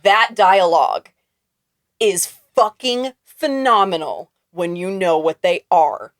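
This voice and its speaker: A woman's voice: lowish voice, lively intonation, slow pace, dry recording, studio quality. A woman podcast host talking casually and effusively about film with friends, swearing freely.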